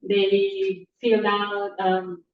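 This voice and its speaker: A woman's voice: mid-range voice, completely flat delivery, extremely slow. A woman in a teacher training, asking a question hesitantly with many fillers. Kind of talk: classroom question. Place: classroom. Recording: roomy, distant, slightly dull.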